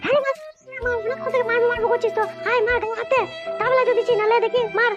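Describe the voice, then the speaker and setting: A woman voicing a foul-mouthed, nagging young wife in a comedy cartoon, with a West Bengal accent and a pitched-up voice. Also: little pitch movement, racing. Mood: furious, daring. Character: shrill, quarrelsome young wife, defiant and aggrieved. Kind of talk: amateur cartoon comedy squabbling. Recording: dull lo-fi sound, music behind.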